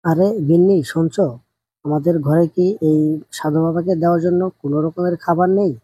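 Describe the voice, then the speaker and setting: A man acts out a poor village husband in a cartoon tale, good-hearted and plain-spoken. His voice is heavily husky and very soft-edged, with little brightness at all. It sounds close and dry. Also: on the high side, steady tone, moderate pace, dry recording. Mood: calling out. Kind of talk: amateur cartoon story dubbing.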